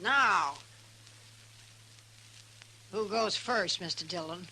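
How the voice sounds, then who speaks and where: An actress playing a brash, boastful saloon gunslinger in an old radio Western, with an American accent, swaggering folksy slang and a bright, forceful voice. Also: female voice, mid-range voice, drawn-out words, slight background noise, full character voice, poor audio. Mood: confident. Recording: old radio recording with faint music.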